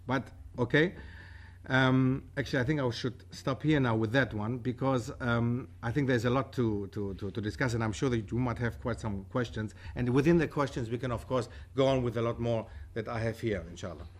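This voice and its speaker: Lively, storytelling man in his forties, a lecturer from Germany, speaking at a table mic in a room with a projection screen. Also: medium pitch, fairly quick. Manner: animated, gestures with both hands, tells stories. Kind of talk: university talk with audience questions. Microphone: table mic on a stand.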